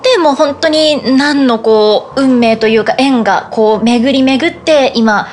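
A woman's voice: high voice, measured pace, background noise. A young woman, a voice actress guest, chatting eagerly and emphatically. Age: young adult. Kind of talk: casual chat.

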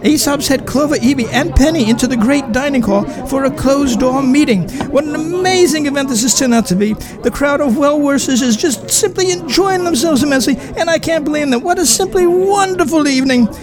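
A man's voice: very high voice, brisk, few pauses, over music. A man voicing a fawning, gossipy male society reporter covering a gala in a fan audio drama. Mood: gushing.

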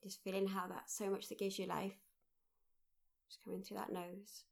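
A woman leading a guided mindfulness meditation, speaking gently in short, unhurried phrases with pauses. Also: mid-range voice, flat delivery, vocal fry. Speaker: meditation guide, workshop leader.

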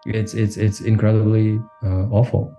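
A man from Kazakhstan, a podcast co-host, reflecting candidly in conversation. He talks haltingly, restarting often and adding "right?". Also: on the low side, little pitch movement, warm tone, soft background music.

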